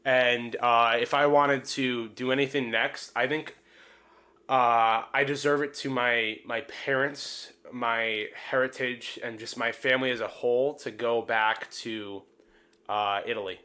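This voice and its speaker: A man with a bright, forward voice of average pitch talks casually on a podcast. He is wordy and likes to repeat a phrase to drive it home. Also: fairly even intonation, measured pace. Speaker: podcast participant.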